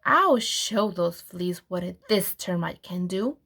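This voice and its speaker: A woman's voice: lowish voice, expressive, slow pace, gravelly, a bit bright, pitched below the performer's own voice, heavily acted. A woman reading the part of a determined male termite architect in a children's storybook, resolute and declaring. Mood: defiant.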